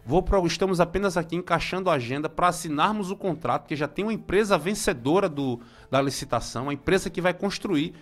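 High-voiced chatty Brazilian man in his forties. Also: quick pace, few pauses. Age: 40s. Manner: nostalgic, chatty, many greetings and restarts. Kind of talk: casual local commentary.

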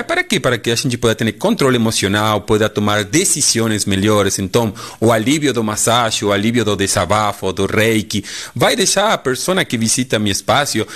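A middle-aged Argentine man with a Spanish accent, a holistic therapist guest speaking deliberately in a radio studio interview. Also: medium pitch. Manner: deliberate, lively intonation with frequent "né?".

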